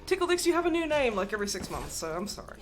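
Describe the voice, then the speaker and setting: Animated woman chatting on a livestream. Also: a little high, very fast. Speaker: livestream co-host and voice actor. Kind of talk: casual livestream chat.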